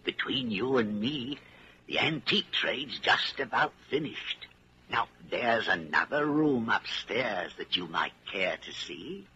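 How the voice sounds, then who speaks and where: Slow, chatty old shopkeeper, old radio. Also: male voice, mid-range voice, expressive, sharp tone. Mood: chatty, obliging. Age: older. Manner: rambling, hesitant, polite old-timer's talk. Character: elderly widowed junk shop keeper, chatty, wistful, deferential. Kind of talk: 1940s radio drama acting. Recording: muffled, hissy 1940s radio recording.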